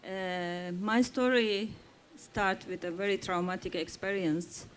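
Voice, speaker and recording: A woman from Turkey, a native Kurdish speaker, talking slowly and deliberately on a festival panel. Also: mid-range voice, fairly even intonation, a little hiss or hum. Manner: slow, deliberate speech with frequent pauses. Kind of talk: panel talk.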